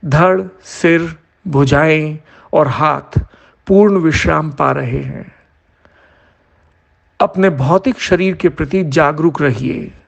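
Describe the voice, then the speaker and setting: A man leading a guided yoga nidra meditation as an instructor, giving measured instructions in short phrases with pauses. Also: a little high, creaky.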